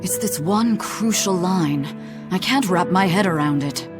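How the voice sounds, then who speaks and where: Slow, stern scholarly woman played by an actress. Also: fairly low pitch, natural voice. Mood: exacting, pensive. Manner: formal, exacting, measured. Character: stern, scholarly, theatrical female teacher of ancient languages. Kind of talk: English video game voice acting. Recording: loud music behind the voice.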